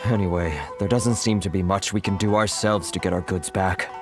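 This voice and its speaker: An actor voicing a worried foreign merchant in a game, who sighs often and sounds apologetic. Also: male voice, low voice, steady tone, normal speed. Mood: anxious. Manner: fretful, sighing and apologetic. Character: anxious foreign merchant, sighing and put-upon. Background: game music.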